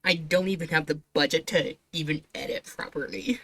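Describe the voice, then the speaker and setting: A woman with a bright, forward voice pitched a little low for her sex. She sounds like a streamer reacting off the cuff, giggly and sarcastic, and swears bluntly. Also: fairly even intonation, fairly quick. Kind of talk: casual reaction commentary.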